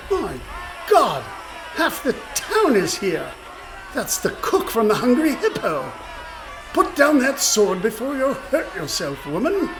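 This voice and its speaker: Pompous high-voiced pirate captain. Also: male voice, huge pitch swings, slow, shouting, crowd noise, full character voice. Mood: outraged. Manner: pompous, theatrical, mock-courteous. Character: blustering, villainous pirate captain, pompous and menacing. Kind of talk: radio play acting. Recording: faint background noise.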